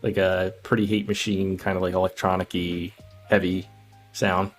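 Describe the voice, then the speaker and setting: A man with a fairly low-pitched voice talks casually as a podcast co-host. He meanders through his opinions in an informal manner, with faint music playing behind him. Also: level tone, normal speed. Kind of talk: casual film discussion.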